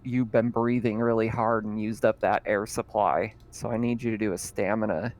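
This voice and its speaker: A man narrating as game master in a relaxed, chatty way, with plenty of fillers. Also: on the low side, normal speed.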